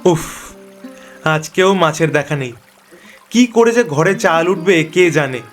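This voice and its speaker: A man's voice: on the high side, slow, fairly bright tone, faint music behind. A man voicing a troubled cartoon village fisherman, plaintive and argumentative. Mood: gloomy, worried. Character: troubled village fisherman, by turns gloomy and defiant. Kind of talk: animated cartoon dialogue.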